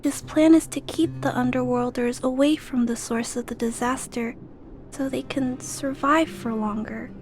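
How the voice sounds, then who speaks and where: A woman playing a gentle, timid yet stubborn little girl in a video game, speaking politely and hesitantly. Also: high voice, steady tone, a little slow, a little hiss or hum. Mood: earnest, grave. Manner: soft-spoken, polite, hesitant.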